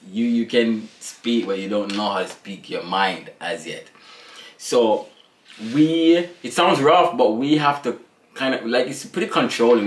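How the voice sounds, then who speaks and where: A Jamaican man in his thirties co-hosting a casual family vlog chat, animated and slangy, laughing often. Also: medium pitch. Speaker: family vlogger, co-host. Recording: echoey room with faint noise.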